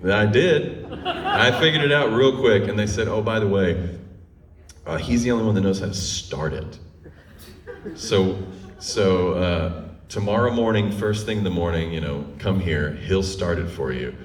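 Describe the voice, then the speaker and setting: Man, deep voice, comic live storyteller, conversational, dramatic pauses, distant mic, echoey room. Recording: roomy echo, distant microphone.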